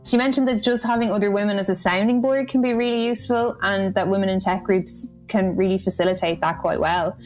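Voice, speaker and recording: A woman's voice: mid-range voice, a little fast, hardly any pauses, soft background music. A woman presenting a podcast in a conversational style, reporting and commenting as a co-host.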